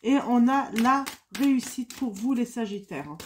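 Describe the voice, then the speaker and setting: A woman's voice: a little high, animated, moderate pace, a little hiss or hum. A woman with a cold, giving a casual spoken tarot reading with many fillers and repetitions. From France. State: has a cold. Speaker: tarot card reader.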